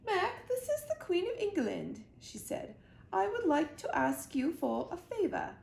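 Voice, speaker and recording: A woman's voice: extremely high pitch, sing-song, unhurried, a little mellow, voice pushed up, heavily acted. A woman voicing a haughty, commanding Queen of England with an imperious, formal manner for a children's storybook. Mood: regal. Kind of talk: children's storybook read-aloud. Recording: roomy echo, clear background noise.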